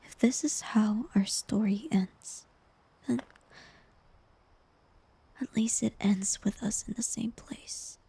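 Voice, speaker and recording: Girl doing a sad tender bard for fantasy romance asmr, super breathy and whispery, slow and wistful. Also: medium pitch, heavily acted. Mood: loving, resigned. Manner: slow, gentle, wistful delivery with pauses. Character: tender, heartbroken woman bard facing her former lover. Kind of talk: intimate ASMR romantic fantasy audio roleplay.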